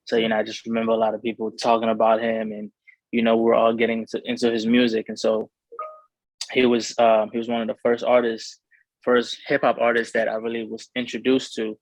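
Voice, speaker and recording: Flat-toned young man from Cameroon. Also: medium pitch, no room sound, poor audio. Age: young adult. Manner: rambling, many fillers and restarts. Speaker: rapper being interviewed. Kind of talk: casual interview talk.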